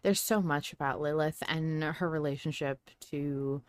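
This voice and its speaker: A woman's voice: low-pitched, steady tone, a little slow, dry recording, studio quality. A woman co-hosting a casual fan podcast, playful and self-deprecating as she talks. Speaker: podcast host.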